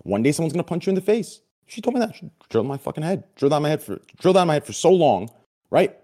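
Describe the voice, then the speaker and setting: A deep-voiced man chatting candidly as an interview guest, excitable and blunt, swearing casually and often checking that he is understood. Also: quick pace. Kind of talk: casual confessional chat.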